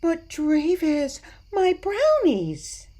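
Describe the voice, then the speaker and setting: A woman's voice: very high-pitched, huge pitch swings, extremely slow, dark tone, heavily acted. A woman playing a weary but sweet and wry elderly grandmother in a radio play. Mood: gently wistful.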